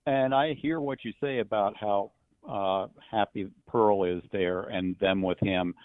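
A man calling a sports show by telephone, offering casual opinions in hesitant, halting phrases over a tinny line. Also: lowish voice, dry recording. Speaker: radio call-in listener. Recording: tinny dry phone line.

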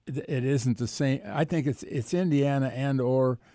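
A man's voice: medium pitch, normal speed, dark tone, no echo, studio quality. An older man with an American accent talking sports casually, in a rambling, reflective way. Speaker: sports columnist and radio co-host.

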